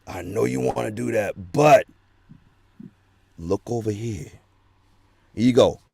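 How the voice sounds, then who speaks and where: A man on a video call as a guest, talking in a lively way and acting out quoted voices. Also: on the low side, takes it slowly, creaky, slightly rough, no room sound. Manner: lively, acts out quoted voices, laughs often. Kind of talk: casual video-call chat.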